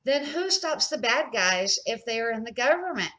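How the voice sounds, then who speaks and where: Woman reading as inquisitive young girl, lively intonation. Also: on the high side, moderate pace, pitched above the performer's own voice. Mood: curious. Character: Emily, inquisitive thoughtful young girl twin. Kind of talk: children's picture-book read-aloud.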